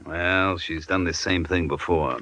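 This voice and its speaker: Curt, forceful low-voiced male crook. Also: fairly even intonation, a little slow. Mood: smooth, explaining. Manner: curt, tough-talking. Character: hard male crook, menacing gang schemer named Carl. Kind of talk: 1950s radio crime drama acting.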